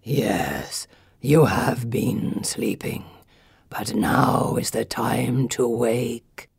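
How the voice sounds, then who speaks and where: Woman as ancient stone gargoyle, storybook guardian: very low, gravelly, slow, solemn and commanding. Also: darkish tone, no room sound, full character voice. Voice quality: gravelly, deep. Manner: slow, grave, formal pronouncements.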